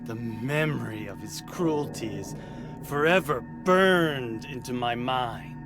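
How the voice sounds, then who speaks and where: Casting: sly worldly cultist for a game cutscene, theatrical, smooth-tongued, unhurried, very animated pitch. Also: male voice. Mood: taunting. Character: sly, smug, worldly man of a religious cult. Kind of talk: video game cutscene acting. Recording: music under the voice. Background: loud music.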